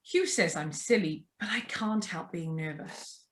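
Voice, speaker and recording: A woman's voice: on the low side, a little slow, natural voice. A woman playing a chatty, nervous young newlywed in a radio drama read over a video call. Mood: nervous, confiding. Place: remote, on a Zoom video call. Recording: live video call reading.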